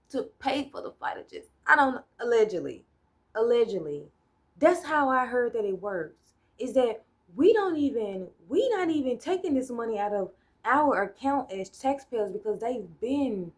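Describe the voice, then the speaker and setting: Woman, American accent, a bit high-pitched, rambling, blunt, swears, checks in with "right?". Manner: rambling, loses her train of thought, blunt and profane; talks things through with hypotheticals and "right?" checks. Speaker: solo vlogger. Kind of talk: casual political rant.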